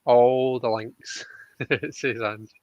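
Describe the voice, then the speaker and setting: A man's voice: mid-range voice, fairly even intonation, very slow, darkish tone. A man hosting a casual live stream, reading out viewer comments, in a dull recording with room echo. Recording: dull lo-fi sound, roomy echo.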